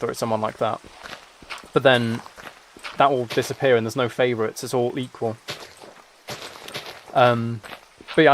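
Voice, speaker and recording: A man's voice: mid-range voice, a little hiss or hum. A tired-sounding man chatting casually on a gaming livestream, rambling and interrupting himself as he replies to viewers. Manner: rambling, self-interrupting, replying to chat comments. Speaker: livestreamer and musician.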